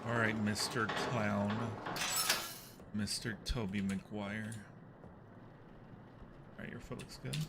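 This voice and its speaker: A man's voice: fairly low pitch, fairly even intonation, slow pace, pauses often. A man hosting a livestream, giving casual, jokey commentary as he plays a horror game. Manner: jokey, exclaims and yelps when startled. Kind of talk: casual live gameplay commentary. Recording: clear background noise on a livestream.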